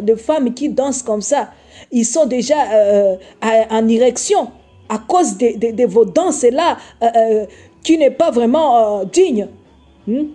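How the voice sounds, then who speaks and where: A woman's voice: on the high side, mellow. A woman from Congo giving a scolding video sermon, repeating herself often and hesitating with filler sounds. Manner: admonishing, repetitive, many hesitations and filler sounds. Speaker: online preacher.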